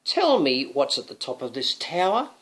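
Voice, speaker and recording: A man's voice: medium pitch, huge pitch swings, normal speed, fairly bright tone. A man voicing a brave, kindly young knight in a children's storybook, with a commanding manner. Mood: confident. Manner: commanding, declarative.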